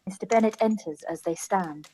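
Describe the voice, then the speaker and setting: A woman narrates a live-read radio play at a medium pitch. She reads the stage directions as scripted storytelling. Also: normal speed, dark tone, breathy edge.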